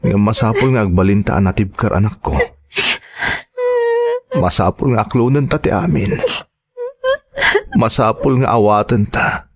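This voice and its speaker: A man's voice: on the low side, unhurried, pauses often. A man playing a tender, grieving father who consoles his young daughter in an emotive, sorrowful audio drama performance. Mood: sorrowful, consoling.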